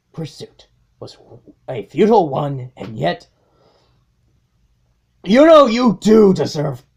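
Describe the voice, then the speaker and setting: A woman as a slow, gravelly robot warlord. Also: sits low, a little mellow, voice pushed down, heavily acted. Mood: cold menace. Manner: slow, deliberate, grandiose menace. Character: cold, menacing robot warlord, brutal and contemptuous. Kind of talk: comic dub dramatic acting.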